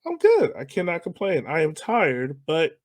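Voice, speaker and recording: A man's voice: on the high side, huge pitch swings, normal speed, no room sound. A man hosting a podcast, chatting informally and jokingly with a guest in a casual interview style. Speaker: podcast host.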